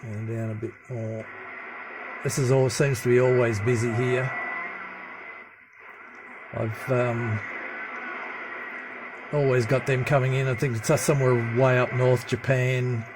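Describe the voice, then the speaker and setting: A man giving a casual hands-on demonstration as a radio hobbyist, with a low-key, halting delivery. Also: little pitch movement. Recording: noisy room with radio static. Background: CB radio chatter and static.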